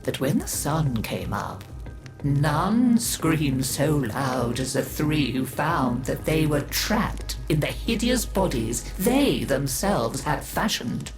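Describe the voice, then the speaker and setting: A woman narrating a creepy fairy tale for a game, dramatic and often sinking into hushed whispers. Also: low-pitched, animated, slow, faint music behind. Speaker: storyteller.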